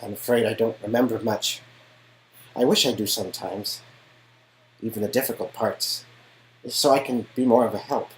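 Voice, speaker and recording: A man voicing a mild, sincere young man in a visual-novel game, gentle and earnest, with noise in the recording. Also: on the low side, steady tone, normal speed, pauses often, voice pushed up, natural voice, low-quality recording. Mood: wistful, earnest.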